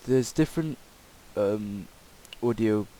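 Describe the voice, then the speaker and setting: A man giving a casual, improvised software tutorial in a hesitant, rambling way. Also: lowish voice, takes it slowly. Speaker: tutorial presenter. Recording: hissy home microphone recording.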